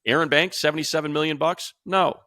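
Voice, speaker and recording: A man's voice: fairly high pitch, fairly even intonation, fairly quick, pushed and bright, no room sound, studio quality. A man hosting an unscripted sports talk show, speaking emphatically and repeating phrases to drive points home.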